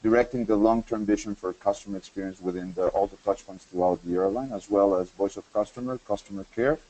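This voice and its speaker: A man working as an airline customer experience executive, introducing himself on a panel in a businesslike way, trailing off quietly. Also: fairly low pitch, steady tone, fast, low-quality recording. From Mexico.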